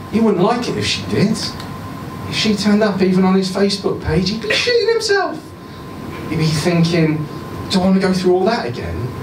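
Man, 30s, live story reader, lively pitch, roomy bar sound, caught from a distance. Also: noisy background. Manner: voices the woman's lines higher, shifts to quiet and low near the end. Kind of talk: live reading of a short story. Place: small bar with audience, indoors. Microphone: stand mic, filmed from a far camera.

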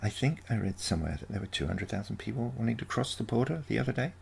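Man voicing a wry, low-pitched, sarcastic grandmother. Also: animated, fast, creaky, husky, full character voice. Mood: questioning, skeptical. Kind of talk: scripted short story reading.

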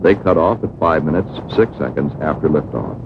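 An American man reading a scripted educational film narration in a measured, formal manner. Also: rumbling low, expressive, background noise. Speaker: documentary narrator. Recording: muffled, worn 1960s film soundtrack.

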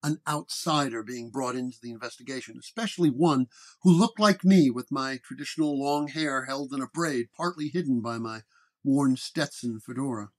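A man's voice: mid-range voice, moderate pace, natural voice. A man playing a world-weary 1930s paranormal detective, dictating case notes in terse, hard-boiled radio narration. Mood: wary.

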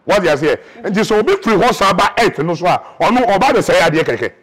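A man's voice: high voice, sing-song, a little fast, fairly bright tone. A Ghanaian man in his thirties, a prophet explaining spiritual matters emphatically in an interview, recorded on a lapel mic indoors. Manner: emphatic, gestures widely, mixes Twi and English. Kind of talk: animated interview talk. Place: indoor church hall set with flowers.

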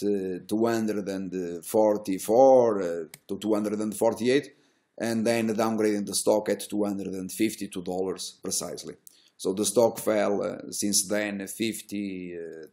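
A middle-aged man who is not a native English speaker, giving unscripted market commentary in halting fragments with drawn-out hesitations and a self-assured manner. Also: lowish voice, dark tone. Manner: self-assured, boastful about results. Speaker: stock trading educator and host. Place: small indoor room.

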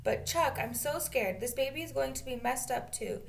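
A woman's voice: high voice, expressive, moderate pace, creaky, a little hiss or hum, voice pushed up. A woman reading aloud as an anxious, guilt-ridden mother in a storybook, tight and pleading. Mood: tearful, scared.

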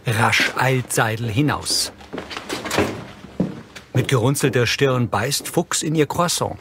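A man's voice: medium pitch, slow, very noisy. A man narrating scripted scene descriptions in a neutral, matter-of-fact way as an audio describer.

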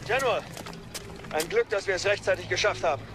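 An actor playing an efficient military commander in an audio drama, giving clipped reports. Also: male voice, high-pitched, a little fast, music in the background, lightly acted. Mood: businesslike.

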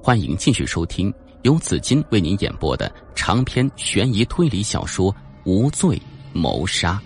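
A man's voice: low-pitched, very animated, a little slow, faint music behind. A man narrating a suspense audiobook in a dramatic, scripted storytelling style.

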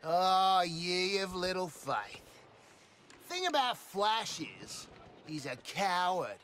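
High-voiced, cocky Australian crook. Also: male voice, sing-song, takes it slowly, fairly bright tone, a little hiss or hum, heavily acted. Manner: boastful, bumbling. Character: cocky, scruffy Australian male crook, boastful loudmouth. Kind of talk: comic video game acting. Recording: game music behind.

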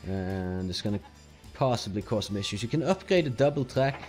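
A man's voice: lowish voice, a little fast, faint music behind. Norwegian man explaining his gameplay. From Norway. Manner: relaxed, explaining step by step with fillers. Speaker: let's play host. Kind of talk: casual gameplay commentary.

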